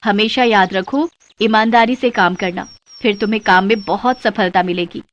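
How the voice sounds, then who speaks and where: A woman's voice: mid-range voice, fairly even intonation, moderate pace, highs cut off, close to an everyday voice. A woman playing a humble, earnest working mother in a cartoon story. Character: humble, hardworking mother running a food cart. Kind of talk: Hindi moral-story cartoon voice acting.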